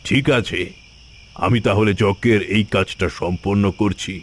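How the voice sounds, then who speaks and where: A man voicing a scheming, vengeful tantric sorcerer in a cartoon fairy tale, boastful and theatrical in his deliberate delivery. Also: fairly low pitch, steady tone, a little hiss or hum, close to an everyday voice. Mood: solemn, persuasive.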